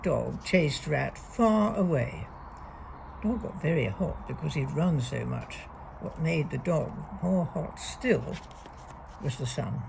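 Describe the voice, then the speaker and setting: Slow, creaky-voiced woman reading a children's storybook. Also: sits low, noisy background. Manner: deliberate, expressive read-aloud with emphasised key words. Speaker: storybook reader. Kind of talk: reading a young children's picture book aloud.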